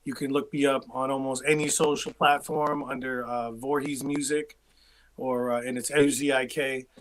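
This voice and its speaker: A man's voice: mid-range voice, level tone, moderate pace, slight background noise, low-quality recording. A man with a Boston-area New England accent, a musician guest talking loosely in a casual livestream interview. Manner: loose, rambling, self-interrupting.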